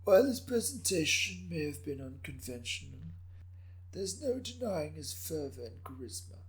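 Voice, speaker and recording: A man voicing an ancient Japanese daimyo with a raspy voice, speaking in a measured, slyly amused way for a dramatic story reading. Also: fairly high pitch, sing-song, pitched above the performer's own voice, low-quality recording. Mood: wry, composed. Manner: measured, understated.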